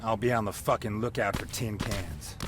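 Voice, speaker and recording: An actor playing a cocky, foul-mouthed, wisecracking survivor in a video game, mocking and swaggering. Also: male voice, lowish voice, moderate pace, a bit bright, slight background noise, close to an everyday voice. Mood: angry. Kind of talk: video game cutscene acting.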